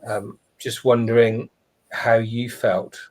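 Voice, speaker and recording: A man telling a personal story on a live webinar, reflective and earnest, pausing and restarting as he goes. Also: mid-range voice, flat delivery, a little mellow. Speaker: webinar panelist.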